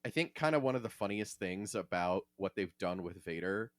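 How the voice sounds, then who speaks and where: A man's voice: sits low, lively intonation, normal speed, dry recording, clean studio sound. A man hosting a podcast, giving casual, opinionated takes on fiction in an emphatic, salty-tongued way. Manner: emphatic, profane. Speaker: podcast host.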